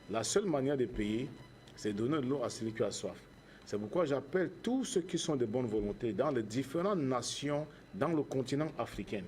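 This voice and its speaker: A man with a Cameroonian accent giving earnest interview answers as a charity founder, in measured, preacher-like phrases with repetition. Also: mid-range voice, a little mellow, slight background noise.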